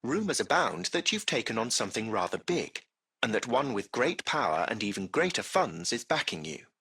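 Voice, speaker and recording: A man voicing a servile, impeccably polite artificial intelligence taxi driver in a video game, with formal, ornate, measured diction. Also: mid-range voice, sing-song, creaky, bright, forceful tone, slightly rough, heavily acted. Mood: smooth, formal. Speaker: AI driver and concierge.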